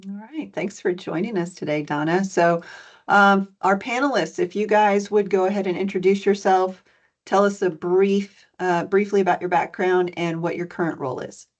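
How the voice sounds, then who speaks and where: A woman's voice: medium pitch, fairly even intonation, moderate pace, muffled recording. A woman moderating an online student panel as program director, welcoming and methodical, with frequent fillers. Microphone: video call.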